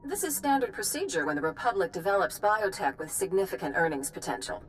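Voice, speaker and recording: A woman's voice: mid-range voice, big pitch swings, quick pace, slight background noise, natural voice. An actress playing a pragmatic, wry woman scientist explaining her work in a video game. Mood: businesslike, resigned. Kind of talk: acted video game dialogue.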